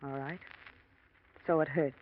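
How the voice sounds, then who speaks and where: An actress playing a worried young wife who pleads emotionally in a 1940s radio drama. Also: female voice, deep voice, steady tone, a little slow. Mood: pained. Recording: dull old radio recording.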